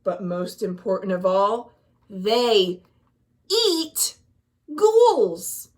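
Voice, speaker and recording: Woman voicing a timid, slow-spoken storybook ghoul. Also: medium pitch, big pitch swings, pitched below the performer's own voice. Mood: wary. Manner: trembling, slow and halting, earnest. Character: huge timid one-eyed male ghoul, fearful but gentle. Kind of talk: children's storybook character voice.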